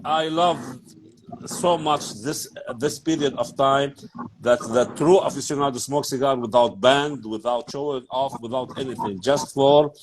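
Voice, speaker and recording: A man's voice: mid-range voice, normal speed, crowd noise, rough recording. A man hosting a casual interview, eager and chatty, backing up his guest with frequent yeahs. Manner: eager, backchannels a lot, repeats "yeah". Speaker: host, interviewer.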